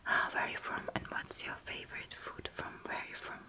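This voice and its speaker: A young woman from Russia with a Russian accent, whispering softly and closely as an ASMR host answering viewer questions, with pauses and fillers. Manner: soft whisper, close to the mic, halting with fillers. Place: small dark room indoors.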